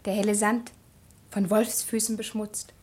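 A woman's voice: medium pitch, little pitch movement, measured pace, a little mellow, husky. A woman playing an eager, musing village child in a poetic radio drama, reciting short lyrical phrases.

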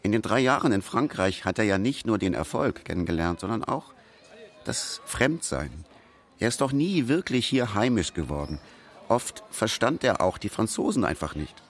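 A man's voice: lowish voice, big pitch swings, normal speed. A man narrating a story for a radio feature in a storytelling style.

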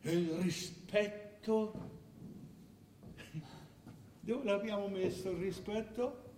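High-voiced forgetful old king, echoey hall. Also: takes it slowly, a little mellow. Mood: indignant, preachy. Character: forgetful, imperious old king, confused and defiant. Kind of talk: theatrical stage-style radio drama acting. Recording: distant, echoey hall with hiss.

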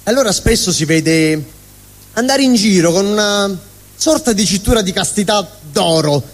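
A man's voice: sits high, expressive, moderate pace. A man performing a theatrical comic stage monologue as an angry, vulgar but honest middle finger, ranting sardonically. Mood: sardonic, scornful. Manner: ranting, sardonic, swooping between mutter and shout. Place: live stage at a fundraising event. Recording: echoey hall reverb, live stage.

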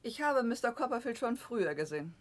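An actress playing a cold, stern spinster companion, speaking formal, clipped in a 1960s radio drama. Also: female voice, on the high side, sing-song, fast.

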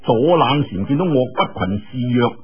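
A man's voice: medium pitch, lively intonation, moderate pace, breathy edge, muffled recording. A man telling a martial-arts tale in Cantonese in a theatrical, colloquial storytelling manner, full of exclamations and asides.

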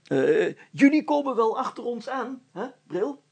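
A man's voice: very high voice, very animated, a little slow, vocal fry, a little mellow, breathy edge, pitched above the performer's own voice, exaggerated voice. A man voicing a pompous, self-satisfied, flustered mayor in a story, stammering and speaking in grand, bossy phrases. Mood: pleased, pompous. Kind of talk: dramatised story reading.

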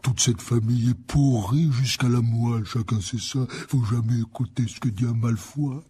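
Man doing a very dark, husky gruff giant. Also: mid-range voice, steady tone, moderate pace. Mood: gruff, consoling. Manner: blunt, rough, colloquial. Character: gruff, protective giant man, big-hearted and blunt. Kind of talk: audiobook character voice.

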